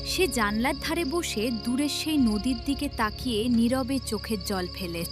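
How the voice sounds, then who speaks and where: A woman delivering scripted, dramatic narration for an animated story as its storyteller. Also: a little high, moderate pace. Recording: music behind the voice.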